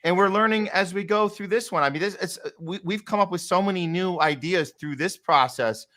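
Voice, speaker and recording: Podcast host guy, bright punchy voice kinda high, agreeable casual chat. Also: fairly quick. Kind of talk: casual conversation.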